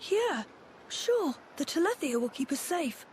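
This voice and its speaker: A woman's voice: very high-pitched, big pitch swings, measured pace, a little mellow, a little hiss or hum, heavily acted. A woman voicing an eager, bookish young boy in a video game, chirpy and informal. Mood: friendly. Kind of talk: video game cutscene acting.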